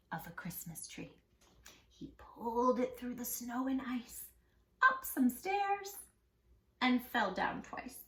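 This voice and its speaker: A woman reading a children's story aloud in an expressive, affectionate way, speaking straight to young listeners. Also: a little high, roomy sound, low-quality recording. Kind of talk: animated children's read-aloud.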